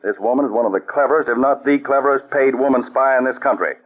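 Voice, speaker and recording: An actor playing a calm, authoritative male counterspy chief in a 1940s radio drama, measured and commanding. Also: mid-range voice, lightly acted. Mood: accusing. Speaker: lead agent. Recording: dull old radio broadcast.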